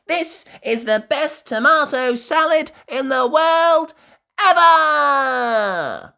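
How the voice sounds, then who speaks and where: The narrator voicing an excitable, cheerful little girl pig in a children's storybook, exclaiming in an eager, childlike way. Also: high voice, extremely slow, gravelly, pitched above the performer's own voice, exaggerated voice. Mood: delighted.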